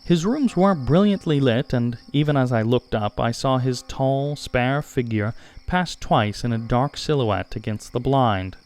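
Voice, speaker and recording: Male audiobook narrator for classic fiction: mid-range voice, very varied intonation, measured and dramatic phrasing. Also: mid-range voice, expressive, darkish tone. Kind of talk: scripted literary narration.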